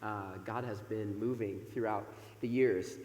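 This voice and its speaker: A man in his thirties, a pastor speaking from the pulpit in a church sanctuary through a microphone, loud and chatty with many fillers. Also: fairly low pitch, fairly even intonation, a little slow. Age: 30s. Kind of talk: sermon. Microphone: microphone over a PA system. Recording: echoey church hall with long reverb.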